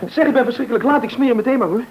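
Casting: cheeky schoolboy for a radio serial, high voice, rattling fast, offhand, lo-fi sound. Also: male voice, background noise. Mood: hurried, offhand. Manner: breezy, offhand, stammering when caught out. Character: cheeky, hurried, careless schoolboy son. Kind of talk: Dutch radio serial acting. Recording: dull, lo-fi old radio recording.

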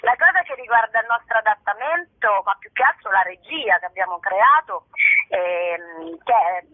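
A woman's voice: mid-range voice, lively intonation, normal speed, dry recording. A woman chatting spontaneously in an interview as an actress, digressing and searching for words, speaking over the phone. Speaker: actress and artistic director interviewed about her show. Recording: thin, band-limited phone line.

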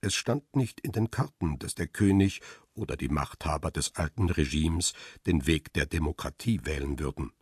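A man playing a paparazzo who tells his own crime story in a radio drama, husky and measured in a literary way. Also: extremely low pitch, darkish tone, no room sound, studio quality. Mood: grave, absorbed. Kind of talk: radio drama first-person narration and dialogue.

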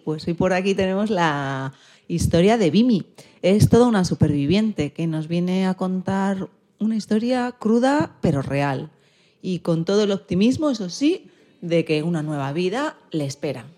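A woman's voice: on the low side, expressive, normal speed, mellow. A woman hosting a radio programme and introducing its sections from a script.

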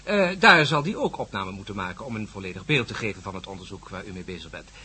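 A man's voice: low voice, lively intonation, fast talker, sharp tone, a little hiss or hum, full character voice. An actor playing a bustling, self-important male television boss in a Dutch radio drama, emphatic and businesslike. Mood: self-assured. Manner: emphatic, businesslike, repeats himself.

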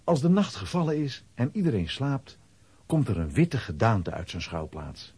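A man's voice: mid-range voice, very animated, normal speed, a little mellow, slightly rough, dry recording. A man narrating a children's audio drama in a scripted storytelling style.

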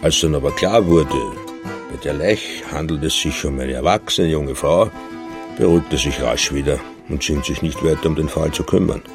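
An actor playing a wary, grumbling old innkeeper in a radio drama, unhurried and dry in delivery. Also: male voice, very deep voice, music in the background. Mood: dry, disapproving. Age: older. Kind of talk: radio drama, first-person narration and dialogue.

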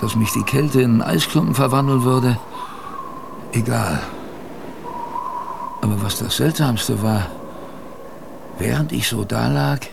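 A man acting in a radio drama as an earnest, plain-spoken storyteller recalling his youth on a polar expedition, conversational, with pauses and repetitions. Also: mid-range voice, vocal fry, background noise, full character voice. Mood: reflective, weary. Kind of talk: first-person radio drama narration.

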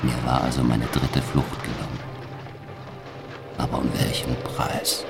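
An actor playing a hardened, world-weary gangster who recounts his crimes gravely and deliberately in a radio drama, with a gravelly voice. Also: extremely low pitch, little pitch movement, loud music behind the voice, full character voice. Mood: grim. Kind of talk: first-person radio drama narration with acted dialogue.

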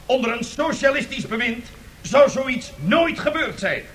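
A woman's voice: fairly high pitch, lively intonation, measured pace. A woman playing a stern, righteous opposition politician, declaiming a formal accusing speech in a radio drama.

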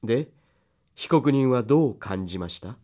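An actor playing a stern male interrogator in an audio drama, questioning in a formal, measured, insistent manner. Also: mid-range voice, animated, warm tone, close to an everyday voice. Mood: cool, insistent. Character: stern, controlled male interrogator, coolly jealous lover. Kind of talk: Japanese audio drama acting. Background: music.

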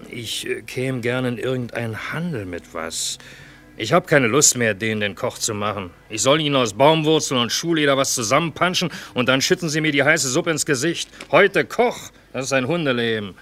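A man's voice: mid-range voice, animated, moderate pace, a bit bright, soft background music, natural voice. A man playing a wry, grumbling army cook out of work, in a colloquial, teasing radio drama style. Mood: weary, grumbling. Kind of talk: theatrical radio drama acting.

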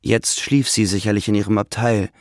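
A man's voice: fairly low pitch, flat delivery, fairly quick, no room sound, studio quality. A man reading literary narration as the narrator of a drama, with ambient sound behind him. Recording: clear background ambience under voice.

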